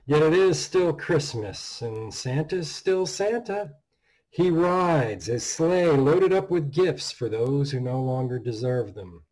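A man in his fifties giving a dramatic, theatrical reading of a horror story, with measured delivery and deliberate pauses, recorded at a desk in a small room. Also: on the high side, animated, a little mellow, poor audio. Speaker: author reading own story. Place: small indoor room at home.

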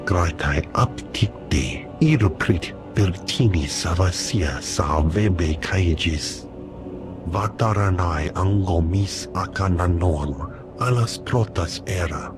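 A man voicing a deliberate male alien underworld dealer in a video game cutscene. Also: low-pitched, music in the background. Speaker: alien contact.

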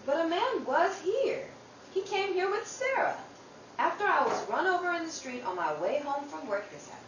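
A woman's voice: very high-pitched, big pitch swings, moderate pace, highs cut off. A woman playing an earnest, bewildered young baby sister in an old-time radio drama. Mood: insistent, bewildered. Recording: roomy, distant, clearly noisy recording.

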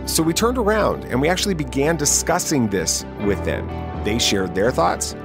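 A man's voice: mid-range voice, big pitch swings, moderate pace, music in the background. A man hosting a podcast, talking to listeners in an interview and sign-off style. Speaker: podcast host.

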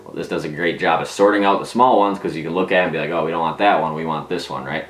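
Deep-voiced man, casual explainer. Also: fast. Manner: informal, conversational. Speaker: fishing video host. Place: small domestic room at a table, indoors. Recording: roomy echo, music behind.

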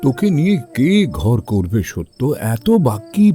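A man acting a weary, honour-bound middle-aged father in a sad audio drama, grave and gently admonishing. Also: medium pitch, very animated, measured pace, soft background music. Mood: worried.

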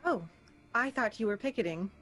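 A woman's voice: fairly high pitch, lively intonation, normal speed, a bit bright, breathy edge, faint music behind. An actress playing a teasing, direct young small-town woman in a radio drama, with wry, pointed questions.

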